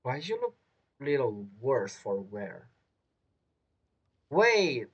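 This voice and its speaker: Animated man doing casual game commentary. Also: mid-range voice, extremely slow, breathy edge, lo-fi sound. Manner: rambling, repeats phrases. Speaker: game streamer.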